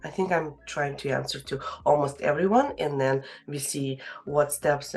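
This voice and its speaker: A woman's voice: very deep voice, gravelly. A woman with a Russian accent, giving a casual interview in English with many hesitations and fillers. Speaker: real estate agent.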